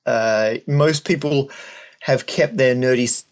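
A man's voice: medium pitch, takes it slowly, lo-fi sound. A man with an Australian accent giving conversational, wry and jokey answers as an interview guest. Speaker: guest, stand-up comedian and mathematician.

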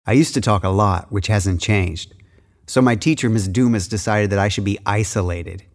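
An actor reading, in measured tones, as a wry young man recounting his own life. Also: lowish voice, lightly acted. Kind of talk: reading from an autobiography.

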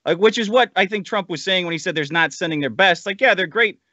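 Bright, forceful male podcast panelist. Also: sits high, fast talker, no room sound, muffled recording. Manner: opinionated, casually profane. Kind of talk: casual political debate.

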